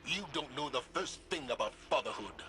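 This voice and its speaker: An actor playing a principled, burdened military commander in a video game, firm and serious. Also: male voice, mid-range voice, expressive, moderate pace, background noise, natural voice. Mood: indignant. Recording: music behind the voice.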